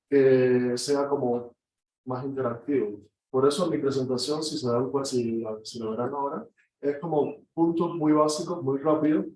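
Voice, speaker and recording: A man with a Cuban accent giving a relaxed, conversational guest talk to a class, often saying "o sea". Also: mid-range voice, slight background noise. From Cuba. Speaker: guest lecturer, anthropologist. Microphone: far room mic. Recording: echoey classroom from far off.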